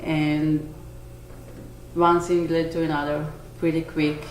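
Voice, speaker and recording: A woman in her forties from Israel with an Israeli accent, a bakery owner answering interview questions earnestly, pausing to search for words. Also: sits low, flat delivery. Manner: earnest, with small restarts and searching pauses. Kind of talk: on-camera interview. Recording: echoey room, faint noise.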